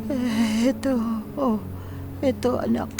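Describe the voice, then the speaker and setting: A woman playing a frail, humble old woman in a radio drama, speaking haltingly and gratefully. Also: a little high, steady tone, music in the background. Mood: hesitant. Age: older. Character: frail, humble, hungry old woman seeking housemaid work.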